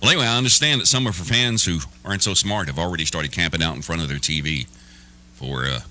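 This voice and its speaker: A man hosting a morning radio show with lively, emphatic, joking banter on a close studio mic. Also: sits low, quick pace. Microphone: close broadcast studio mic.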